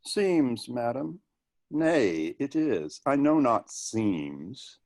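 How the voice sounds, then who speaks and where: A man playing a bitter, grieving young prince, reading Shakespearean verse in a somber, deliberate manner. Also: medium pitch, sing-song, darkish tone, full character voice. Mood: wry, bitter. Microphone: video call.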